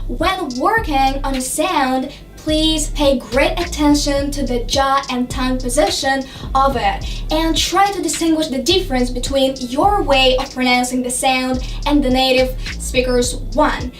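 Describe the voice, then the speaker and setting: A woman's voice: high-pitched, roomy sound, recorded from a distance. A young woman with a Russian accent, earnestly explaining English pronunciation tips step by step to the camera. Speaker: English learning vlogger. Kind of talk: scripted to-camera advice. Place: dim room with lamp and globe.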